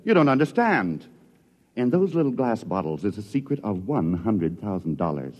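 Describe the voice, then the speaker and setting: Smug, animated artist schemer. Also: male voice, on the low side, moderate pace. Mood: smooth, placating. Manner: theatrical, condescending, placating. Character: smug, self-styled artist schemer, condescending, grandly confident. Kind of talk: old-time radio drama acting. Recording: reverberant old radio recording.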